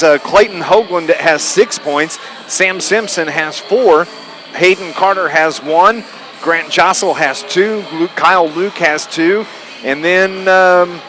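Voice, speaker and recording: High-pitched, bright American male basketball announcer. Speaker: radio play-by-play sports announcer. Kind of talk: live high school basketball play-by-play. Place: high school gymnasium during a game.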